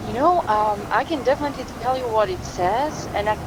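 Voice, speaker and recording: A woman playing a calm, helpful native Bulgarian speaker in a naturalistic phone conversation. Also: brisk, close to an everyday voice. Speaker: actor in a fiction podcast. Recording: noisy call with rumbling, windy background.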